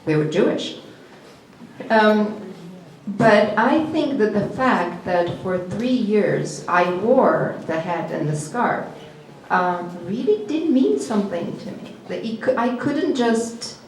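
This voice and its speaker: A woman from the former Yugoslavia whose first language is Serbo-Croatian, speaking as a panelist in a reflective, halting way. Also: fairly high pitch, expressive, a little mellow, slight background noise. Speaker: panelist, performance artist. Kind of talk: panel discussion answer. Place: gallery event space. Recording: echoey gallery room.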